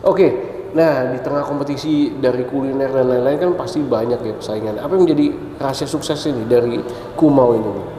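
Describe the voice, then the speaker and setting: A host leads a relaxed interview, nudging his guest along with short agreeing replies. His voice is mellow and warm, at an ordinary pitch. The room gives a long, roomy echo, with faint ambience underneath. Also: a little fast. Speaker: show host. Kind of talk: casual interview chat.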